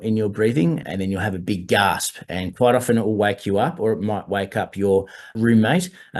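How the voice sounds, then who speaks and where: A hoarse man, a doctor explaining altitude medical issues in a measured way during a webinar over a video call. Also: on the low side, a little mellow. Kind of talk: webinar presentation.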